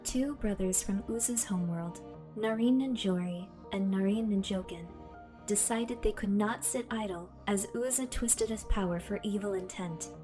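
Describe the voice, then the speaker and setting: Woman playing a belt AI, female, solemn, formal, measured, mid pitch, audio drama with music underneath. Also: dark tone, lightly acted. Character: calm all-knowing female AI spirit in a belt.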